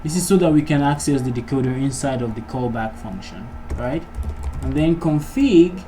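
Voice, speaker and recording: A man's voice: medium pitch, lively intonation, moderate pace, warm tone, soft background music. A man narrating an informal coding tutorial, casually thinking aloud as he works through each step. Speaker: programming tutorial host. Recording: clearly noisy recording, keyboard typing. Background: background noise, computer keyboard typing.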